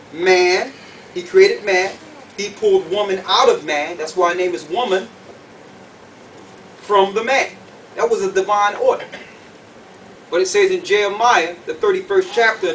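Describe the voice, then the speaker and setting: Guy with a high voice teaching bible verses, insistent, bit distant from the mic. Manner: emphatic, didactic, checks understanding with short questions. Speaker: preacher teaching scripture. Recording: distant mic, faint background noise.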